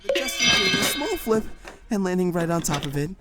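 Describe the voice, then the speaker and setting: A woman's voice: fairly low pitch, big pitch swings, brisk, loud music behind the voice. A woman narrating a first-person audio drama in a tense, breathless storytelling manner.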